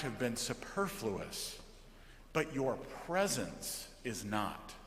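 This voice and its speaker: A man preaching a sermon as a pastor, speaking deliberately and reflectively, with emphatic pauses and questions. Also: high voice, fairly bright tone. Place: large church during worship service. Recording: reverberant church hall.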